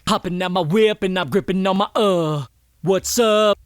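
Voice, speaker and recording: A man raps a loose, unpolished vocal take and throws in ad-libs between bars. His voice sits high for a man. The take is recorded close and dry. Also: moderate pace, clear, resonant tone, no room sound. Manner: loose, off-the-cuff rap with ad-libs.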